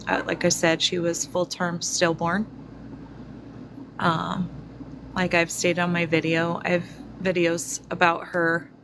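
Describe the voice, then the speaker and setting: Woman in her 40s talking slow to her viewers, lowish flat voice, lots of ums, noisy background. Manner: slow, reflective, many fillers. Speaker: spiritual vlogger. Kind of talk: personal testimony to viewers.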